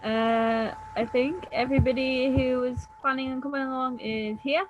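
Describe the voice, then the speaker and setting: A woman's voice: high voice, steady tone, moderate pace, lo-fi sound. A tired woman hosting a casual livestream chat, talking in a self-deprecating way. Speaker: book channel host. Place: video call. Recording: clearly noisy background.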